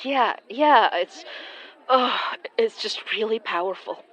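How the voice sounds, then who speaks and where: An actress playing a gentle, anxious young woman who senses haunted objects, speaking haltingly in audio fiction. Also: on the high side, rough recording. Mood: shaky, subdued. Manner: hesitant, halting, stammering. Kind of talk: audio fiction acting with first-person narration.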